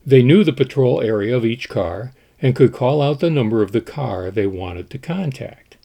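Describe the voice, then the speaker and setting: A man telling the history of an old board game in a measured storytelling way, with an occasional "uh" as he goes. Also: mid-range voice, lively intonation, vocal fry, mellow. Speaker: board game collector presenting. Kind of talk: scripted history narration.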